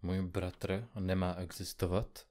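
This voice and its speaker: Man acting grumpy male investigative journalist, lowish voice, curt, impatient, colloquial, audio comedy drama. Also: flat delivery, a little mellow, natural voice. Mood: impatient, urgent.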